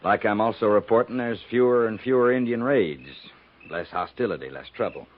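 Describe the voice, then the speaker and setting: A man's voice: lowish voice, a little fast, lightly acted. A man playing a brooding, plainspoken frontier Indian agent in a 1950s radio western, with a folksy, ominous delivery. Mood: wry, concerned. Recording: dull, noisy 1950s radio recording.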